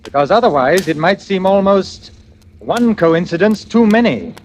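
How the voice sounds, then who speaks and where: An actor playing a smoothly menacing, delusional killer in a 1940s radio suspense play, speaking with deliberate, insinuating theatricality. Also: male voice, sits high, lively intonation. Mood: falsely casual. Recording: noisy vintage radio recording with clicks.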